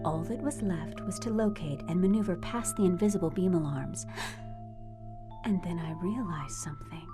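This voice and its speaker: An actress playing a poised, sly burlesque star and cat burglar in a noir radio drama, speaking in an arch, coolly wry way. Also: female voice, on the low side, normal speed, over music, lightly acted. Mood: cool, suspenseful. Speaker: lead actress and narrator.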